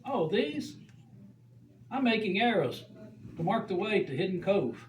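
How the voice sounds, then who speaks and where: A performer voicing an excitable, adventurous puppet in a children's church show, telling things with dramatic exaggeration. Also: medium pitch, unhurried. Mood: upbeat. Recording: roomy, distant mic, faint background noise.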